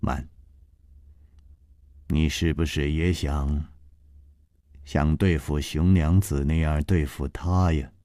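A man voicing a stern, icy woman who rules a palace, speaking slowly and coldly in a storytelling reading. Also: very deep voice, steady tone, mellow, no echo, clean studio sound, voice pushed down, full character voice. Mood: scornful.

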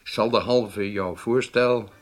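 Actor as a stern, measured government leader. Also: male voice, lowish voice, steady tone, natural voice. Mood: grave, resigned. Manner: formal, measured, authoritative. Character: stern, weighty Israeli government leader rebuking his intelligence chief. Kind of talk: radio drama acting.

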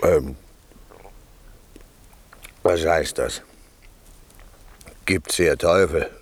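Radio drama: boozy, bossy shipwrecked servant, deep register, slow theatrical drawl. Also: male voice, slightly rough, heavily acted. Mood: puzzled, boastful. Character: drunken, blustering shipwrecked servant with a wine bottle. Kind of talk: classic radio play acting.

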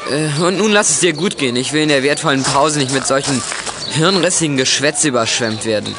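A condescending, bossy schoolboy played by a male actor in a youth radio play. He talks in brusque slang with a slightly high voice, over clear recording noise. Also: a little fast, close to an everyday voice. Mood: haughty, dismissive. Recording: clearly noisy old audio play recording.

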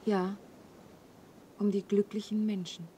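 A woman's voice: mid-range voice, little pitch movement, slow, mellow, lightly acted. An actress playing a wistful, vulnerable young woman in a German radio play, hesitant and pensive in her delivery. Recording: clearly audible background ambience. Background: ambience noise.

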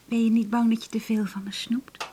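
An actress playing a young woman in a naturalistic radio drama, soft-spoken and halting. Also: on the high side, level tone, a little hiss or hum, natural voice. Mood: teasing, intimate.

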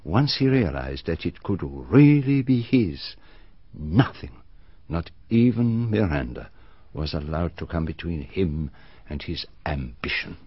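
A low-voiced methodical detective. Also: male voice, big pitch swings, breathy edge, dry recording, full character voice. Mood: grave. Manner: measured, deliberate, explanatory. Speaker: detective explaining the solution. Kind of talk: BBC radio drama acting. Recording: muffled dry archive radio recording.

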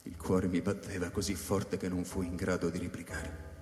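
An actor playing a troubled space station scientist, narrating in the first person like radio theatre, moving between hushed confiding and urgency. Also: male voice, low-pitched, little pitch movement, moderate pace, close to an everyday voice. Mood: dark, tense. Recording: echoey reverberant hall with music behind.

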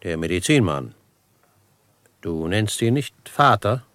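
A male actor playing a calm, shrewd frontiersman in a German radio drama, speaking in a measured, formal, explanatory way. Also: lowish voice, dry recording, heavily acted. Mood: probing. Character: Old Shatterhand, calm shrewd frontiersman, questioning and deducing.

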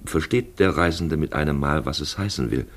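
A man narrating a literary radio play as a grave storyteller, expressive and deliberate in his phrasing. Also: extremely low pitch. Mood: grave, intent. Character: grave male storyteller voicing a traveller's thoughts.

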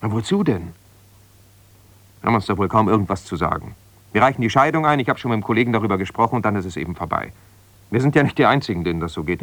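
A dry, close studio sound carries a bitter, self-righteous husband with a fairly low voice. He is a lawyer who argues down the phone, defensive, insistent and full of reproach. The delivery is naturalistic radio drama. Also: a bit bright, no room sound. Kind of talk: naturalistic German radio play, one side of a phone call.

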